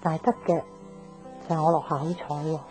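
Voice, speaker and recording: A woman's voice: sits low, steady tone, fast, darkish tone, close to an everyday voice, low-quality recording. Frank young Hong Kong woman played by an actress, music behind. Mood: defensive, challenging. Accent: Hong Kong Cantonese. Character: frank, upbeat, stubborn young woman friend. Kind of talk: naturalistic radio drama acting.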